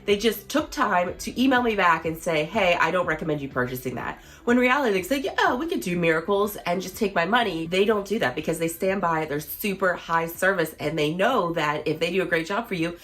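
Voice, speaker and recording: A woman in her thirties, a fashion vlogger, chatting in a casual, enthusiastic way, her voice a little hoarse as she gets over losing it. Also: fairly low pitch, lively intonation, a little fast, few pauses, fairly bright tone. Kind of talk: casual product review vlog.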